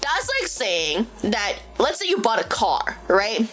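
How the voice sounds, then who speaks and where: Animated young woman streamer, lively pitch. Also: slow. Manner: animated, exclaiming, swearing, laughing often. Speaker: VTuber streamer. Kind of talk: casual live-stream reading and chat. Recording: faint music behind.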